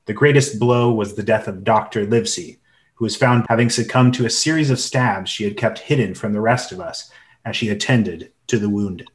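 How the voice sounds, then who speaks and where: A man's voice: on the low side, moderate pace. A man giving dramatic scripted narration as the storyteller of an adventure radio play.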